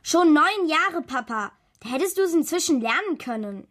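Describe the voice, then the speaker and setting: A woman's voice: high-pitched, lively intonation, moderate pace, a bit bright. A woman playing a hurt, cheeky nine-year-old girl in a children's radio drama, with a direct, reproachful, argumentative manner. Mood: angry, reproachful.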